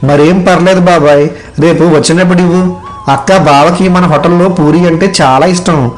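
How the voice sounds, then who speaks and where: A man playing a kind, hardworking village eatery owner in an animated moral story, polite and obliging. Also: fairly high pitch, normal speed, faint music behind, close to an everyday voice, low-quality recording. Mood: friendly, obliging.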